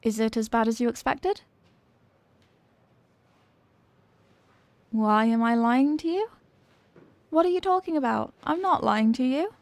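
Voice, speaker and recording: High-pitched, evasive cheerleader girl, acted by a woman. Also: normal speed, no room sound, close to an everyday voice. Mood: nervous, evasive. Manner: hesitant, stammering, defensive. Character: evasive, flustered popular teenage cheerleader girl being tutored. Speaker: ASMR roleplay voice actor. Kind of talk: ASMR roleplay, acted one-sided conversation.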